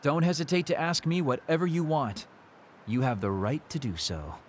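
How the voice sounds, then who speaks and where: A man's voice: a little high, sing-song, normal speed, slight background noise. An actor playing a teasing, world-weary immortal man in a video game cutscene. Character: burned-out, playful man two thousand years old, hollow inside. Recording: music behind the voice.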